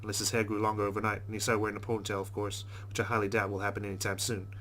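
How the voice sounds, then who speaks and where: A man with a fairly low voice reads visual novel narration at a brisk clip. He is wry and conversational, like a host playing through a game, and there is a light hum and noise behind him. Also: completely flat delivery, a bit bright. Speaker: let's play host. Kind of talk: reading game narration aloud with casual asides.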